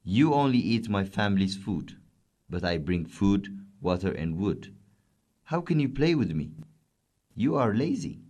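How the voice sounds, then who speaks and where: A man's voice: sits low, lively intonation, normal speed, darkish tone, slight background noise. A man voicing a proud, hardworking camel in a children's story, boastful and grumpy.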